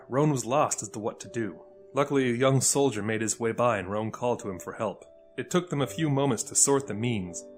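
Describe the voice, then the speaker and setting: A man narrating a scripted dramatic story as the narrator. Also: mid-range voice. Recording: music under the voice.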